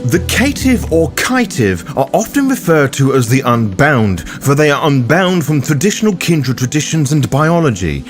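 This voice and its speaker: A man's voice: on the high side, big pitch swings, normal speed, hardly any pauses, music in the background. A man hosting a guide, delivering scripted explanatory narration in a theatrical, florid manner. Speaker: host of a roleplaying guide show.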